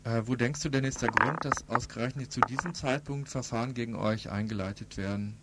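A man's voice: mid-range voice, fairly quick, very noisy. A man conducting a radio interview, asking questions in an informal, slightly hesitant way.

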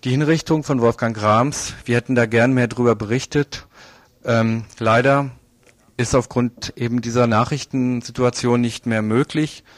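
A man's voice: medium pitch, fairly even intonation, normal speed, dry recording. A man hosting a radio news magazine, presenting in a semi-scripted live style.

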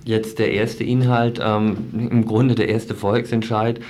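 A man hosting a radio interview, phrasing his questions and remarks in a halting, thoughtful way. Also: fairly low pitch, flat delivery, a little mellow. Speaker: radio show host. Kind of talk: live radio studio interview.

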